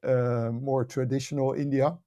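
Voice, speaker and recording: A middle-aged or older man from the Netherlands with a Dutch accent, explaining things in a measured, conversational way as an interview guest. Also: medium pitch, level tone, warm tone, highs cut off. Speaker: podcast guest, academy director and author.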